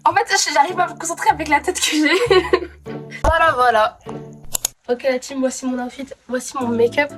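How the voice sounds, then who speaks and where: A young woman, a little hoarse from a cold, chatting casually to camera in a rambling way, full of fillers and restarts. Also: high voice, fairly even intonation, moderate pace, music in the background. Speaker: vlogger. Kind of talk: casual vlog chat to camera.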